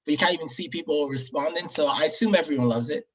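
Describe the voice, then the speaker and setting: A man's voice: a little high, fast talker, rough recording. A young man in his twenties interviewing a guest over a video call from home, talking in a laid-back way with lots of fillers. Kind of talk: casual conversation. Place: room at home.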